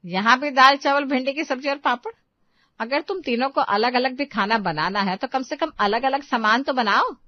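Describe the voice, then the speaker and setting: A woman's voice: high-pitched, moderate pace, dry recording, lo-fi sound, close to an everyday voice. An actress playing an older, thrifty, scolding mother-in-law in a cartoon story.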